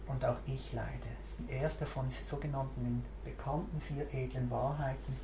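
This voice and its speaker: Woman teaching mindfulness, thinks out loud, roomy echo, bit of hiss. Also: a bass voice, fairly even intonation, moderate pace. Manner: reflective, searching, thinking aloud. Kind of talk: meditation group talk. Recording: roomy recording, faint background hiss.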